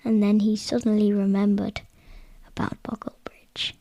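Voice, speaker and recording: A woman's voice: mid-range voice, flat delivery, normal speed, mellow, no echo. A woman narrating a bedtime story as a podcast co-host.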